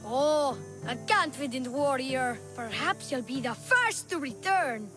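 A cheeky little boy con artist in a video game. The voice is extremely high and childlike, full of slick sales patter and fake innocence. Music plays clearly underneath. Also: animated, measured pace. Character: cheeky young boy tour guide, sly con artist. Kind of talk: video game character acting.

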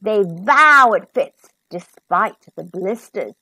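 A woman reading a rhyming storybook to children in an expressive, sing-song, carefully enunciated way. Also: mid-range voice, takes it slowly, breathy edge, no echo.

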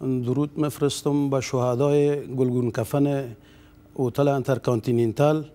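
A middle-aged man, the head of a trade union, answering questions in a TV studio with many pauses and fillers. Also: mid-range voice, steady tone, warm tone. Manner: hesitant, formal greetings, many fillers. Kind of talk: studio interview. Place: TV news studio.

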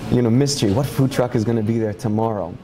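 Brief: male restaurant owner, mid pitch, casual and griping, noisy room behind. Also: quick pace, dark tone. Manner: casual, complaining, with fillers. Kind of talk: interview. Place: indoors in a restaurant.

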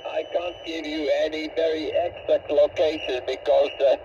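A man's voice: a little high, little pitch movement, moderate pace, warm tone. An elderly man with a Finnish accent chatting as an amateur operator over a shortwave radio link. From Finland. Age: 70s or older. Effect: shortwave radio transmission. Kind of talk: ham radio contact chat. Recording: noisy muffled shortwave radio signal. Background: radio static.

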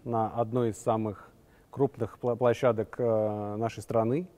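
A young adult man, a guitarist and festival organizer, telling his story in a measured, fluent interview. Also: on the low side, flat delivery, warm tone. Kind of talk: interview recollections. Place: large empty concert hall, indoors.

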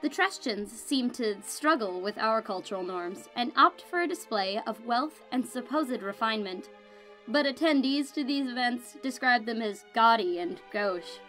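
A woman playing a cool, haughty royal spy reading a formal, measured, disdainful report in an audio drama. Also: on the high side, fairly bright tone, low-quality recording. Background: music under the voice.

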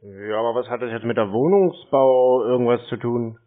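A man's voice: mid-range voice, normal speed. A man answering a phone call casually, tersely and unbothered, mostly with brief acknowledgements. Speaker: call recipient stringing telemarketers along. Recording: narrowband phone audio.

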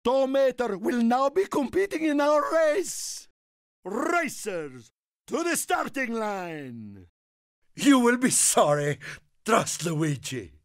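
A man voicing a small, excitable, friendly Italian car in a video game, theatrical and exclamatory, with an Italian accent and broken English. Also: very high voice, slow pace, no echo, clean studio sound, heavily acted. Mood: eager, encouraging. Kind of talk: animated video game character lines.

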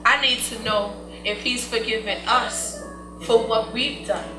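Woman acting slow, pleading dying woman. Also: a little high, steady tone, close to an everyday voice. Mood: weary, fearful. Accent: American. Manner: halting, earnest pleading. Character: gravely ill woman, guilt-ridden, pleading. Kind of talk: gospel stage play acting. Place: indoor stage before an audience. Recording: echoey stage, distant mic, music.

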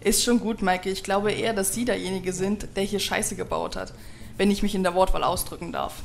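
A self-assured female executive in a radio play, played by a woman. She speaks at a medium pitch, composed and formal, with a pointed tongue. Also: steady tone, brisk, creaky, a little hiss or hum, natural voice. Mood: cool reproach. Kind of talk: audio drama acting.

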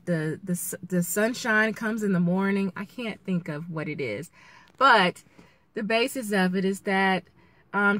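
A woman vlogger chatting casually and reflectively in an encouraging way, with halting pauses as she thinks. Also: medium pitch, a bit bright, no room sound. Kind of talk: casual chat, motivational vlog. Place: inside a parked car, daytime. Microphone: camera or phone at arm's length.

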